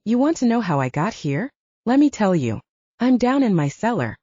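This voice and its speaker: A woman narrator voicing a gruff, complaining man with slangy speech in a fantasy audiobook. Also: medium pitch, very animated, normal speed, mellow, dry recording, muffled recording, voice pushed up. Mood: indignant. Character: Clark, gruff, grumbling, slangy wounded male character.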